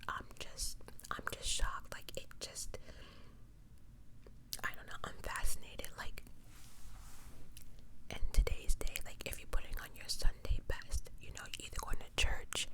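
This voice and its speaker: A woman hosting ASMR in a breathy whisper, telling a true crime story with chatty, informal commentary and words repeated for emphasis. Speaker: ASMR host.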